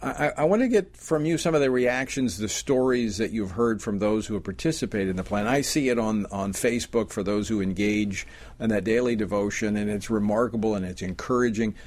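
A man's voice: lowish voice, fairly quick. A man hosting a faith talk show, asking questions in an encouraging way and often repeating his first words. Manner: warm, encouraging interviewer with stammered restarts. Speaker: host. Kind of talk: conversational interview.

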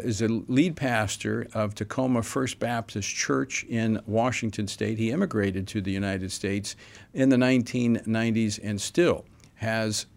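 A man hosting a broadcast interview, asking earnest, measured questions with small restarts. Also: medium pitch, vocal fry, a little mellow. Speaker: radio talk show host.

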